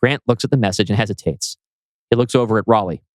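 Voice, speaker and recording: A man's voice: lowish voice, fast talker, no echo. A man reading scripted screenplay narration as writer and narrator.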